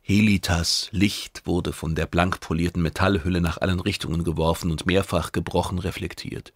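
A male narrator reads a science fiction novel in a very low voice with a gravelly edge. The sound is dry and close, as in a studio audiobook. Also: no room sound.

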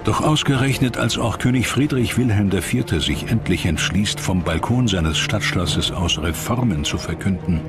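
A man narrating a scripted historical documentary in a measured, dramatic way. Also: lowish voice. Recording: dramatic music behind the voice. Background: music.

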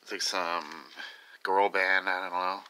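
A man of twenty chatting casually and haltingly in an unscripted show-and-tell, filmed at home on a handheld phone. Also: low-pitched, little pitch movement, sharp tone, clean tone. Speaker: video maker showing a collection. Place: small cluttered room at home. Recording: noisy phone recording with clicks.

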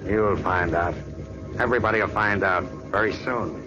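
An actor playing a gruff undead gang boss, cocky and cruel, who sneers in tough-guy slang, in 1940s radio acting. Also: fairly low pitch, normal speed, natural voice. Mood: sneering. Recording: dull old radio recording with music.

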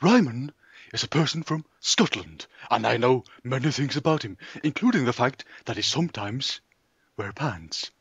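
A man playing an absent-minded, mock-serious documentary presenter in a comedy sketch, husky, pompous and deadpan. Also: medium pitch, moderate pace, no echo. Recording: dull, lo-fi voice recording.

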